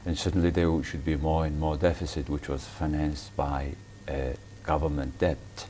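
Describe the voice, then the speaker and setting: A man hosting an interview, asking long questions in halting, hesitant phrasing. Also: a bass voice, little pitch movement, warm tone, a little hiss or hum. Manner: halting, hesitant phrasing, frequent back-channel murmurs. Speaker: interviewer host.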